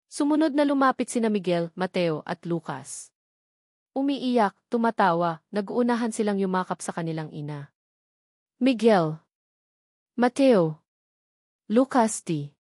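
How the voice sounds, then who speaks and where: A woman with a Filipino accent narrating a scripted dramatic story in a sentimental, measured way. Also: mid-range voice, lively intonation, no room sound, clean studio sound.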